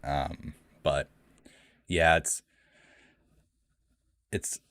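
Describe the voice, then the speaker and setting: A young man with an extremely deep voice talks to his viewers in a rambling livestream Q&A. He says "um" often and restarts his sentences. The sound is dry and close, and his voice trembles slightly in a cold room. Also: fairly even intonation, extremely slow, a bit bright, dry recording. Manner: rambling, many ums and restarts. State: shaky voice from the cold. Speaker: streamer hosting a live Q&A. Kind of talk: casual livestream chat. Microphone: home streaming mic.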